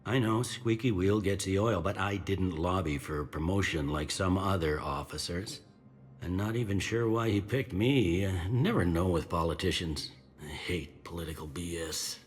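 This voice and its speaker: An actor playing a gruff, jaded police officer in a sci-fi video game, talking bluntly and colloquially. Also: male voice, low-pitched, fairly even intonation, normal speed, natural voice. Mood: weary grumbling.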